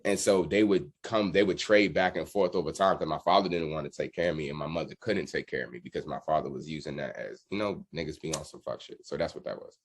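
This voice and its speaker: A man's voice: very deep voice, fast, hardly any pauses. An American man giving a casual interview as a guest, telling stories in a candid, slangy way. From Washington DC area, United States. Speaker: musician guest, drummer.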